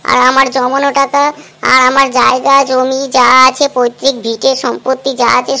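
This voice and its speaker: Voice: high voice, creaky, a bit bright. A performer voicing a pleading, put-upon male loan collector in a comedy cartoon, whining and deferential. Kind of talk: amateur comedy cartoon dub.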